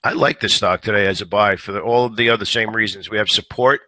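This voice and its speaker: Hoarse man giving bright market commentary. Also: lowish voice, steady tone, quick pace, no room sound. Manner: conversational, repeats words when thinking. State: slightly hoarse. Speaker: stock trading show host.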